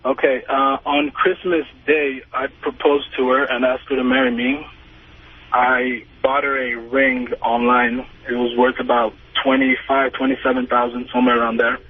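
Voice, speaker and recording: A young man in his early twenties, calling a radio show and telling his story casually and haltingly. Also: mid-range voice, little pitch movement, husky. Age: early 20s. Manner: hesitant, halting. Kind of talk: casual phone confession. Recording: tinny, noisy phone line.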